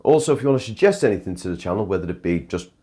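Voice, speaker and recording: Low-voiced young man chatting. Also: animated, very fast, warm tone, breathy edge. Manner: chatty, rambling with restarts. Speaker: vlogger. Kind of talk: casual talk over drone footage.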